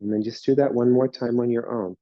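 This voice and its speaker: A man guiding a yoga class through slow breathing and relaxation, speaking in calm, soothing instructions. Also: mid-range voice, dry recording, muffled recording. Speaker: yoga teacher.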